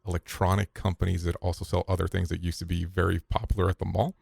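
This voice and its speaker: Deep gravelly man telling stories. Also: fast talker, no room sound, studio quality. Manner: relaxed storyteller with frequent restarts and quote-unquote asides. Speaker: podcast guest, safety broadcaster. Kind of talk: conversational interview answers.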